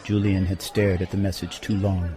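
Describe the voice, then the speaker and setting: A man narrating a literary romance in a measured, descriptive storytelling manner. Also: low voice, little pitch movement, crowd noise. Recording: faint music behind.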